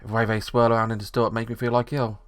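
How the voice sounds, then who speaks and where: A man's voice: mid-range voice, flat delivery, quick pace, no echo. A man reading the narration of a visual novel aloud, steadily, with small slips.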